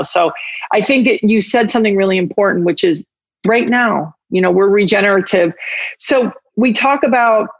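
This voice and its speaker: Woman podcast host, gravelly fry, dry slightly muffled recording, casual rambling interview chat. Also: mid-range voice, dry recording. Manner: rambling, thinking aloud.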